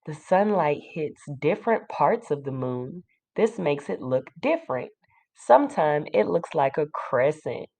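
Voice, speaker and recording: Slow, low-voiced woman teacher reading aloud. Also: warm tone. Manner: addresses young listeners with simple questions and explanations. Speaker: teacher reading a book to a class. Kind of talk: children's read-aloud with explanations.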